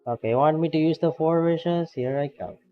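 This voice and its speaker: A man's voice: on the high side, a little fast, lo-fi sound, faint music behind. A man streaming a game as a VTuber, chatting casually with a friend, laughing often and reacting to game results. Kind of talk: casual gaming stream chat.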